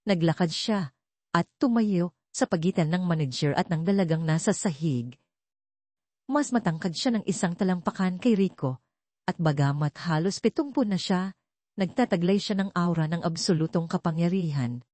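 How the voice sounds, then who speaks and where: A woman's voice: lowish voice, animated, normal speed, dark tone, dry recording. A woman reading scripted dramatic narration as the narrator of an audio story.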